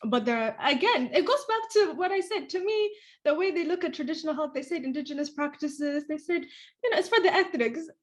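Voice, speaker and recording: A woman co-hosting a casual discussion podcast, animated and quick to laugh. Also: high voice.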